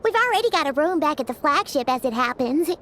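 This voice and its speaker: A woman's voice: high-pitched, big pitch swings, fast, fairly bright tone, a little hiss or hum. A woman voicing a tiny childlike flying fairy in a video game, chirpy and casual, with music behind her. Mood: friendly.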